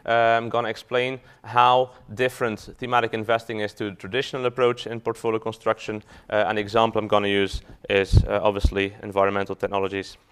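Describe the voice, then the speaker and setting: A man's voice: on the low side, fairly bright tone, clean tone. A man from Belgium, a fund manager giving a business talk to an audience, hesitant with frequent fillers and restarts.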